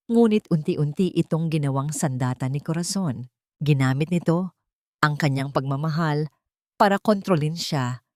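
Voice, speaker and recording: A woman's voice: low-pitched, a little mellow, no echo, clean studio sound. A woman storyteller giving scripted dramatic narration of a love story.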